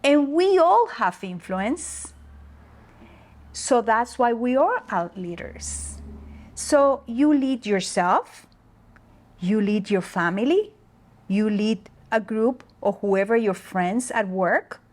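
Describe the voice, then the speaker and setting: A woman from the Dominican Republic, a native Spanish speaker, talking as a guest about leadership in a slow, thoughtful way with pauses and fillers. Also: on the high side, expressive. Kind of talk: interview conversation.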